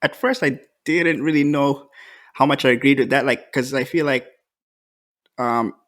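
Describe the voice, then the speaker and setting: A man co-hosting a podcast in casual chat, relaxed and thinking aloud with many fillers. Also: medium pitch, moderate pace. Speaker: podcast co-host.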